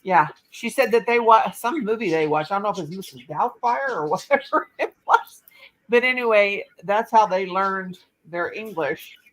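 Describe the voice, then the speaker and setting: A woman's voice: mid-range voice, lively intonation, normal speed, fairly bright tone, poor audio. An older woman hosting a casual live chat on her laptop, telling a rambling story full of restarts. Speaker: channel host.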